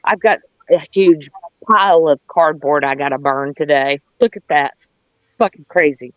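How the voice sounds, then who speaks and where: A woman's voice: low voice, moderate pace, dry recording, lo-fi sound. A woman tells a personal story in casual, candid talk, recorded dry and close with little room sound. She swears freely, drifts into side details and voices the people she quotes. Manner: candid, profane, rambling with digressions; acts out quoted dialogue.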